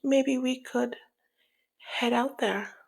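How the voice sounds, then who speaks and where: A woman playing a flirty, teasing, dominant female mob boss in an intimate ASMR roleplay, with a husky, breathy, half-whispered voice and a sultry, taunting manner. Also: high-pitched, fairly even intonation, heavily acted. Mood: flirty, playful. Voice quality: breathy, husky, often slipping into near-whisper. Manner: slow, sultry, playful taunting. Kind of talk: ASMR roleplay, intimate one-sided dialogue.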